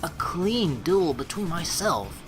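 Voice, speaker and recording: A man reading a game aloud as a let's play host, with excitable commentary and dramatic narration. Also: on the high side, takes it slowly, music in the background.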